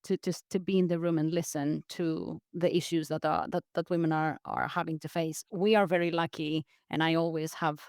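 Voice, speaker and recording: A woman from Greece speaks as a guest on a podcast, earnest and reflective, often repeating words as she restarts a thought. Her voice is smooth and resonant, and the recording is close and dry, with no room sound. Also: low-pitched, fairly even intonation, brisk, hardly any pauses, a little mellow, no echo. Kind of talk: reflective interview talk.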